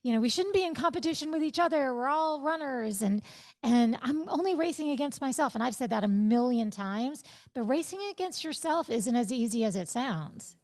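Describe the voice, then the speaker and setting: High-voiced woman in her fifties. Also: normal speed. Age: 50s. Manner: reflective, animated, stresses quoted thoughts. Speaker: interview guest. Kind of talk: conversational podcast interview.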